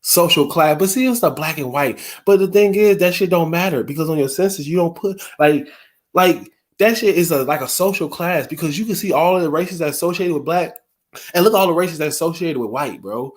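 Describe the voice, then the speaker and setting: Man from the US, co-host, slightly high voice, earnest and rambling. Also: a little fast. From United States. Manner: earnest, thinks aloud with many fillers. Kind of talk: casual chat.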